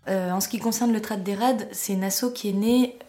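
A woman's voice: mid-range voice, fairly even intonation, brisk, mellow, echoey room, faint music behind. A woman of Argentine family background, a cultural association organizer who speaks hesitantly with many fillers. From Argentine parents. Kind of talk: scripted narration then interview.

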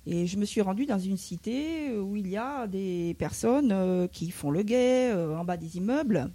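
A woman's voice: medium pitch, animated, unhurried, mellow. A woman from the south of France with a slight southern French accent, a Spanish teacher answering interview questions with many hesitant fillers.

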